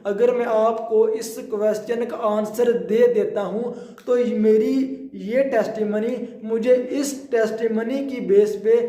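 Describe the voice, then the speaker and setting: A man's voice: very high-pitched, fairly even intonation, takes it slowly, mellow. A man with a Pakistani accent giving an informal explanatory law lecture, repeating and rephrasing himself and mixing in English terms. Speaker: law teacher. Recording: roomy, echoing sound.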